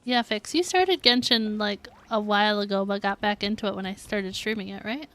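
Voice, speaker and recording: A woman's voice: mid-range voice, moderate pace, a bit bright. A woman game streamer chatting casually and informally, thinking aloud as she talks to her viewers. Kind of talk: casual livestream chat.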